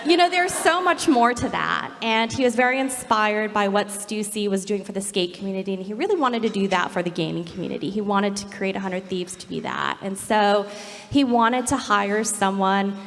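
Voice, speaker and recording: A woman's voice: mid-range voice, lively intonation, normal speed, creaky. A woman speaking on a panel about her career, candid and self-deprecating. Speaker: panelist, gaming company executive. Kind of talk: panel discussion. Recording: long hall reverb.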